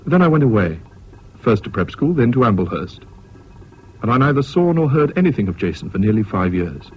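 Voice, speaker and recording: An actor playing an educated English gentleman narrating his memories in a radio drama, measured, candid and wry. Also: medium pitch, very animated, slight background noise, close to an everyday voice. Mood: reflective, wry. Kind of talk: scripted first-person radio drama narration. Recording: dull old radio recording.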